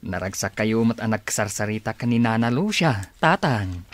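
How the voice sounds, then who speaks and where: Low-voiced, dutiful son played by a man. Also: expressive, moderate pace, natural voice. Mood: earnest, caring. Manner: respectful, earnest. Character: dutiful, caring grown son. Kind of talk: radio drama acting.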